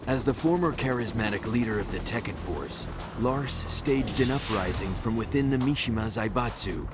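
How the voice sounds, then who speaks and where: A man's voice: lowish voice, expressive, normal speed, noisy background. A man delivering scripted story narration for a video game cutscene. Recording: loud music behind the voice.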